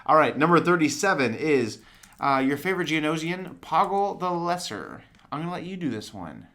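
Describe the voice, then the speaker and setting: A man co-hosting a podcast in casual, joking chat, his voice a bit raspy from yelling. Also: on the high side, very animated, moderate pace. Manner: enthusiastic, joking. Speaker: podcast co-host.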